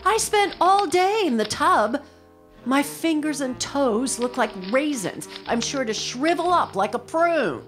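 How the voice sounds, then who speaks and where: A demanding middle-aged mother in a radio drama. Her voice is high and swoops dramatically as she complains. She nags in a slow, querulous, self-dramatizing way, with music behind her. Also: expressive, fairly bright tone. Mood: self-pitying. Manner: melodramatic, nagging, querulous. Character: demanding, complaining, possessive mother of a grown son.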